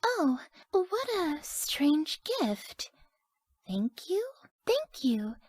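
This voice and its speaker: A woman voicing a sweet, sleepy, scatterbrained young woman in a video game, with a soft, airy, naive delivery. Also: sits high, big pitch swings, very slow, no echo, clean studio sound, full character voice. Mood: happy, affectionate. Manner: soft, airy, gentle, often dropping to breathy near-whispers. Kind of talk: video game character voice lines.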